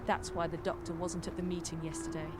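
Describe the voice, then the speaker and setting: A woman playing a bright, indignant teenage witch in an audio drama. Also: lowish voice, level tone, a little fast, loud music behind the voice, lightly acted.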